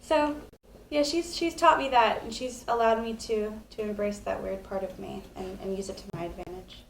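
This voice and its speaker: A woman's voice: mid-range voice, fairly even intonation, moderate pace, vocal fry, a little hiss or hum. A woman actress with an airy, light voice, chatting casually and giggling on a live stream from a living room couch. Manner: giggly, chatty, rambling with fillers. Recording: roomy living room echo.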